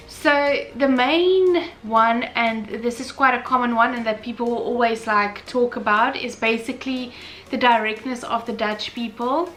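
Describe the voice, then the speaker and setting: A woman's voice: fairly high pitch, a bit bright, a little hiss or hum. A young woman from South Africa, an Afrikaans speaker with a South African accent, chatting casually in a Q&A vlog with many fillers and restarts. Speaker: vlogger. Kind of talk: casual Q&A chat.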